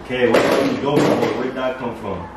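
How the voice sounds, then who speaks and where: A man hosting a casual podcast interview, asking short questions and giving quick affirmations, heard from across the room. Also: mid-range voice, steady tone. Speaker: podcast host. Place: kitchen table in a home room. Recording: echoey room from a distance with noise.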